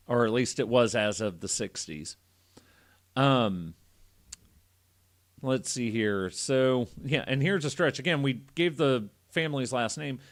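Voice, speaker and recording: Irreverent man telling a story casually. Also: medium pitch. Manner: irreverent, profane, joking. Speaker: podcast panelist telling a story.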